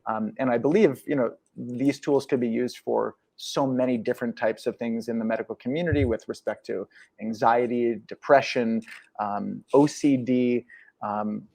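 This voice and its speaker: A man speaking as a podcast guest, earnest and explanatory, often pausing on fillers and restarting his sentences. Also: mid-range voice, fairly even intonation, creaky, mellow. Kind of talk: conversational interview.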